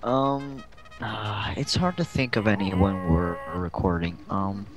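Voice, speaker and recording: A man's voice: fairly low pitch, measured pace, over music. A young man chatting casually and telling anecdotes in a rambling, giggly way. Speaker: co-host of a casual gaming video.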